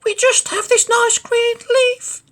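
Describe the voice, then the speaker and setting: Tiny, timid storybook ants. The falsetto is extremely high and squeaky, with the words drawn out slowly. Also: male voice, flat delivery, a bit bright, heavily acted. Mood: pleading.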